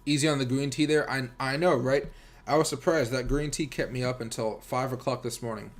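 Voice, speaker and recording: Fast-talking American man on a livestream. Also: mid-range voice, fairly even intonation. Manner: rambling, informal, addresses viewers directly. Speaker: livestream host and crypto trader. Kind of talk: casual livestream chat and chart commentary.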